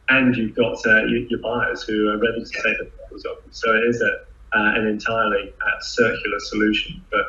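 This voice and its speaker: A man who founded a startup answers interview questions, restarting sentences often and filling pauses with "uh" and "um". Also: mid-range voice, bright, forceful tone. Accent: non-New Zealand, foreign-born. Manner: halting, many restarts and fillers. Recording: echoey, dull lo-fi audio.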